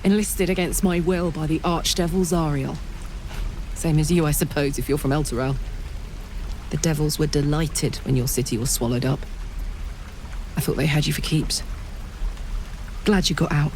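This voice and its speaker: A woman's voice: deep voice, steady tone, moderate pace, long pauses, darkish tone, a little hiss or hum, close to an everyday voice. A woman playing a brash tiefling warrior escaped from hell, frank and wry, in a video game cutscene.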